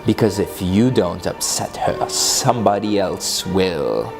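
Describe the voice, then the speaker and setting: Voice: sits low, animated, slow pace, gravelly, over music. A mischievous narrator of unclear sex giving a theatrical, gleeful dramatic reading of comic verse. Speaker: author and reader.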